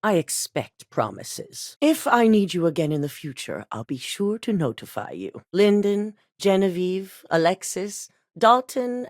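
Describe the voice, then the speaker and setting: A middle-aged actress playing a commanding corporate CEO in a video game, curt and businesslike. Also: female voice, on the low side, dry recording. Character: cool, commanding woman CEO of a megacorporation. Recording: dry studio recording.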